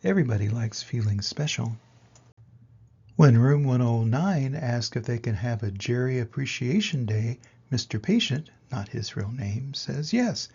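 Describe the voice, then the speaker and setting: Gravelly older man reading a storybook, very animated. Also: medium pitch, highs cut off. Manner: expressive, dramatic pauses and emphasis. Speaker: reader of children's picture books. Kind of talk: animated storybook read-aloud.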